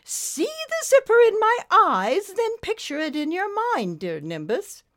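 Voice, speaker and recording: A woman's voice: extremely high pitch, huge pitch swings, measured pace, a bit bright, voice pushed up, full character voice. A woman voicing a stately, theatrical lady snake with a posh British accent and a gushing, melodramatic manner in a story. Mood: delighted. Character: stately, theatrical lady snake, flustered, charming and self-pitying.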